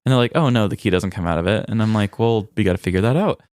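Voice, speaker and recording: A man's voice: on the low side, animated, very fast, a little mellow, clear, resonant tone, dry recording, clean studio sound. A man co-hosting a comedy podcast, chatting casually and telling stories, often acting out bits of conversation. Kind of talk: casual banter and storytelling.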